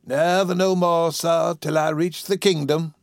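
Man putting on a weak, high-pitched old widow. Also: fairly even intonation, a little slow, dry recording, clean studio sound. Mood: pious resignation. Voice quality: weak, plaintive voice. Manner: Southern folksy dialect. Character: weak, sickly old widow, pious and resigned.

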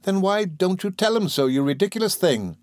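A man voicing a gentle, fragile young mother in a storybook, with tender, genteel phrasing. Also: high voice, lively intonation, fairly quick, no echo, studio quality, voice pushed up. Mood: playful, teasing. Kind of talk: audiobook character voice.

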